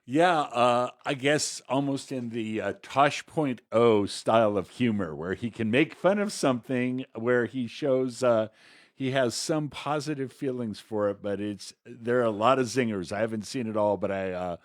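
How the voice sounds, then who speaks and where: A man co-hosting a podcast, giving casual commentary in an informal, halting conversational manner. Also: medium pitch, no echo, studio quality. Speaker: podcast co-host.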